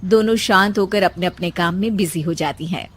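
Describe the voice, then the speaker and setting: A woman's voice: fairly low pitch, moderate pace, background noise. A woman narrating a scripted story.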